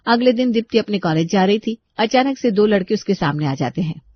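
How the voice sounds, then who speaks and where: A woman's voice: mid-range voice, lively intonation, fairly quick, dry recording, lo-fi sound. Female narrator for a scripted family story, medium register, close dry sound.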